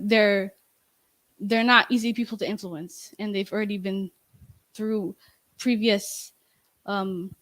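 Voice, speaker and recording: A young woman giving a class presentation and answering questions, talking in a halting way with many restarts and fillers, into a handheld microphone in a bright meeting room. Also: medium pitch, no room sound. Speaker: student presenter.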